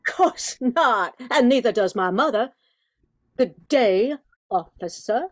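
A woman's voice: fairly high pitch, animated, slow pace, slight background noise. An older woman acting a spirited, protective grown daughter in an amateur radio play, speaking emphatically. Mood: indignant, firm. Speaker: amateur radio drama performer.

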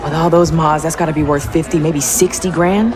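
An actress playing a guarded, stubborn young street racer, dry and defiant, in a game cutscene. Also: female voice, deep voice, fairly even intonation, brisk, over music, a crowd in the background, lightly acted. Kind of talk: video game cutscene acting.

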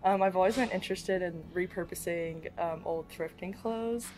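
A woman's voice: lowish voice, moderate pace, darkish tone, faint music behind, rough recording. A young woman design student from Indiana, talking casually with fillers. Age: young adult.

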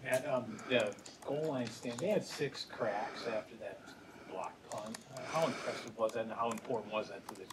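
A man, a reporter, asking halting, hesitant questions at a press conference. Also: medium pitch, very noisy.

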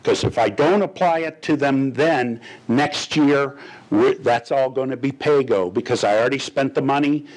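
A man's voice: mid-range voice, moderate pace, poor audio. An older man speaks into a desk microphone in an indoor boardroom. He talks the board through a finance item plainly, stumbling into restarts as he goes. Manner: plain-spoken, gestures a lot, restarts often. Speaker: finance official. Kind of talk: explaining to a board. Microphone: desk microphone on a stand.

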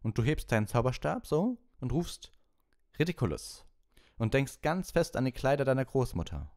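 A man's voice: medium pitch, sing-song, normal speed, darkish tone, voice pushed up. A man voicing a cheerful, encouraging wizard teacher in an audiobook, instructing patiently and kindly. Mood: encouraging, instructive. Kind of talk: animated audiobook character voice.

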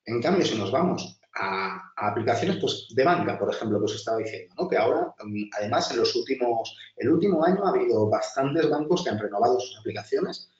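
Chatty instructor, distant roomy audio. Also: male voice, medium pitch, animated, brisk, hardly any pauses, dull audio. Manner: chatty, many restarts and filler, addresses class directly. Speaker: design instructor. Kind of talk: webinar lecture over slides.

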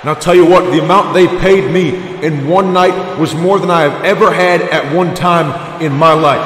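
A man voicing a gruff, bearded wrestler who went from drifter to champion, cutting a blunt, defiant promo full of swearing. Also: fairly high pitch, normal speed, a bit bright, echoey room, big-room sound, a little hiss or hum, close to an everyday voice. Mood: grim. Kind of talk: fan-voiced wrestling game promo.

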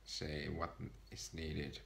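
A man's voice: extremely low pitch, little pitch movement, slow, creaky, fairly bright tone, slight background noise. A shy young Finnish man with a Finnish accent talking hesitantly to a camera from his sofa at home, English not his first language. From Finland. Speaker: vlogger. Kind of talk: personal vlog to camera. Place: small room, seated on a sofa. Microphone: camera in front of him.

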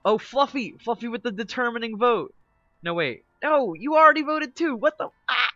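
A male game streamer in casual live chat with viewers, excitable and teasing, laughing often. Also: very high voice, normal speed, no echo, dull audio, faint music behind.

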